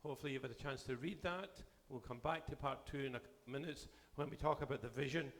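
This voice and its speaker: A man serving as bishop, delivering a formal address to a church assembly while losing his voice, with a measured pace and sentences that trail off. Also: mid-range voice. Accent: Canadian.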